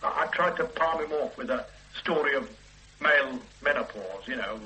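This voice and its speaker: A weary ordinary bloke. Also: male voice, medium pitch, animated, rough recording. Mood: weary, halting. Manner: halting, hesitant confession with quiet weary asides. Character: ordinary middle-aged man, weary, evasive, regretful. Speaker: murder suspect under questioning. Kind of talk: 1970s-80s radio play acting.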